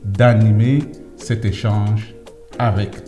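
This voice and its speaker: A man's voice: fairly low pitch, level tone, slow pace, dark tone, soft background music. A man hosting a podcast interview with young guests, asking informal questions and checking back with short tags. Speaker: host.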